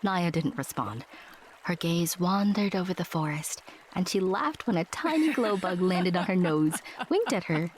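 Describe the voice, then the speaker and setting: A woman giving scripted fairy-tale narration, addressing the listener with deliberate dramatic pauses. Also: on the low side, animated, slight background noise. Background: music.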